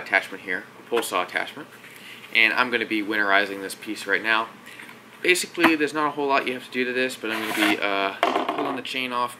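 A young man giving a casual how-to vlog while working in a workshop garage, relaxed and full of uh and um. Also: lowish voice, heavy background noise. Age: young adult. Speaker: vlogger doing equipment maintenance. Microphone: action camera close up.

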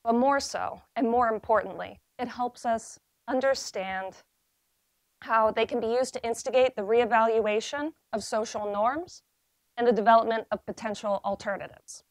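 A young woman gives a short graduate thesis talk to a seated audience, her voice fairly high and recorded dry and close. She speaks in a measured, earnest way, pausing for weight. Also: no room sound. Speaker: graduate student presenter. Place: library seminar room with audience.

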